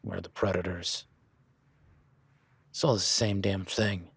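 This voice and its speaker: An easygoing, seasoned cowboy rancher, voiced by a man in an audio roleplay. His voice sits low with a husky edge, and he talks in a flat, folksy, plainspoken way straight to the listener. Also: a little slow, no echo, exaggerated voice.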